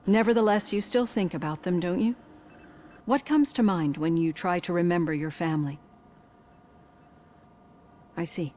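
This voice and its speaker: A woman playing a composed, gently probing psychologist in a video game, speaking in a measured, clinical way. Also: fairly low pitch, close to an everyday voice. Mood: reflective.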